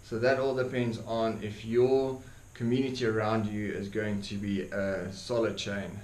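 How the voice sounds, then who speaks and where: A young man from South Africa with an Afrikaans accent, Afrikaans his first language, talking earnestly to camera in a dark room, with many ums and repeated words. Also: fairly low pitch, level tone, a little slow. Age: young adult. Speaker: YouTuber. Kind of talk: opinion monologue to camera. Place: dark indoor room, seated by desk. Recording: echoey room with faint hiss.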